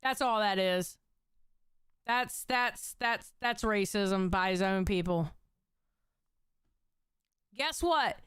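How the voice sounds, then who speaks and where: A woman's voice: medium pitch, slow, long pauses, a bit bright, clean tone, no room sound, studio quality. A young adult woman streamer giving blunt, heated commentary on clips and reading out chat comments. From Puerto Rico. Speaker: reaction streamer. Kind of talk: casual commentary reacting to clips.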